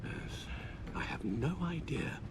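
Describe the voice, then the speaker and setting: An actor playing an elderly British butler and guardian in a video game, anxious and devoted, with formal, careful, emotive phrasing. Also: male voice, fairly high pitch, animated, slow pace, noisy background. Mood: concerned. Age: older. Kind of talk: cinematic game voice acting. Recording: music bed under dialogue.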